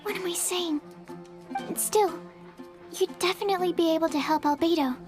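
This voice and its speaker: A woman's voice: very high-pitched, moderate pace. A woman voicing a shy, nervous young female alchemy assistant in a video game, timid and apologetic. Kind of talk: video game cutscene dialogue. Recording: game cutscene with music behind. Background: game music.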